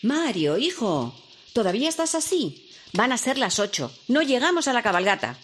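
An actress playing a cheerful, hurried mother of a young boy in a radio drama. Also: on the high side, very animated, faint music behind. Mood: hurrying. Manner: hurried, fond.

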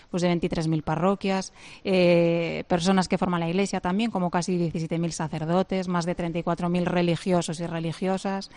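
A woman speaks as a church spokesperson in an interview, her voice sitting low and flat. She hesitates often, with many fillers and restarts as she explains figures. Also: no echo. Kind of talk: interview answers.

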